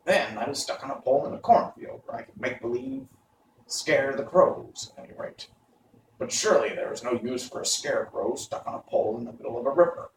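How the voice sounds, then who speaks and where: A man voicing a gentle, self-doubting scarecrow with an earnest manner, in a storybook reading. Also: medium pitch, brisk, gravelly, lightly acted. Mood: mournful. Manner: plaintive, earnest. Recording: roomy, distant, faint noise.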